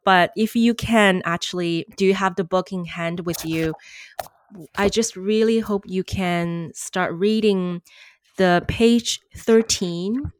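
A woman's voice: on the low side, no echo, clean studio sound. A woman hosting a podcast interview, talking casually and searching for words with many fillers. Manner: soft-spoken, searching for words with frequent fillers. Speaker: podcast host.

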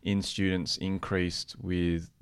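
A man co-presenting a podcast, explaining research conversationally in a measured, explanatory manner. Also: low-pitched, little pitch movement, smooth. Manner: measured, explanatory, tentative when giving opinions. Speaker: podcast co-presenter, practising therapist.

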